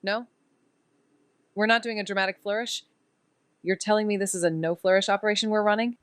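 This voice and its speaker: A woman's voice: medium pitch, fairly even intonation, fairly quick, smooth, dry recording, clean studio sound, natural voice. An actress playing a wisecracking young woman investigator who jokes sarcastically. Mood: playful. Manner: joking, sarcastic. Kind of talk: audio drama acting.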